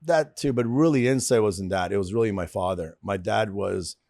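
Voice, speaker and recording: Lower-voiced Canadian man in his fifties. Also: moderate pace, creaky, darkish tone, no echo, clean studio sound. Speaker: guest, insurance company founder. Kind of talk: casual interview chat.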